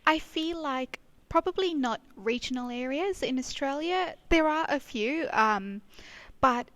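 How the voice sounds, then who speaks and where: A woman's voice: sits high, animated, a little slow, a bit bright, no echo. A woman in her thirties from Kenya, a speech pathologist, chatting as an interview guest with lots of fillers and restarts. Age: 30s. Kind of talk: casual interview chat. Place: regional town in Australia.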